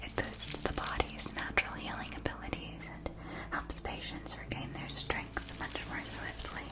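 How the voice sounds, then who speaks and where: A woman playing a kindly potion-supply shopkeeper in a scripted fantasy ASMR roleplay, speaking in a soft, breathy whisper with deliberate, gently explanatory delivery. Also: natural voice. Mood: soothing, helpful. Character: kindly female shopkeeper of magical witch-school potion supplies.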